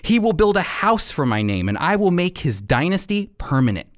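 A man hosting a radio program and teaching from the Bible in an earnest, deliberate, emphatic way. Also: high-pitched, big pitch swings, no room sound, studio quality.

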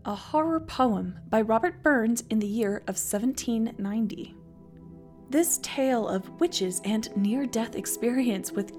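A woman podcast host giving measured scripted narration and reading a poem aloud. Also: fairly high pitch, a little mellow.

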